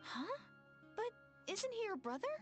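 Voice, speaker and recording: A woman's voice: extremely high pitch, big pitch swings, measured pace, faint music behind, full character voice. An actress playing a devout young village woman in a video game, speaking earnestly and a little hesitantly. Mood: hopeful, urgent.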